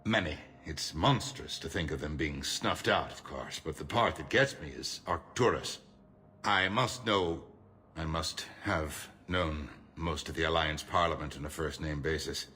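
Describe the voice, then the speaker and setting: Deep, forceful, grave statesman. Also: a little hiss or hum, heavily acted. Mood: grim. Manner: measured, oratorical, emphatic. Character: shrewd middle-aged human politician, grave and defiant. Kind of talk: cinematic game dialogue.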